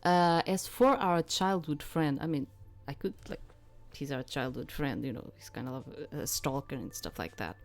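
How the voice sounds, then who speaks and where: Low-voiced woman doing game commentary. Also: expressive, moderate pace, few pauses, faint music behind. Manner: rambling, hesitant, searching for words. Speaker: game streamer. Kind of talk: casual playthrough commentary with read-aloud game text.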